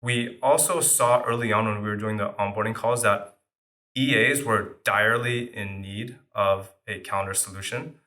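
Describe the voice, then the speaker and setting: A startup founder who grew up speaking French, answering interview questions in a casual way with many fillers. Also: male voice, fairly low pitch, sharp tone.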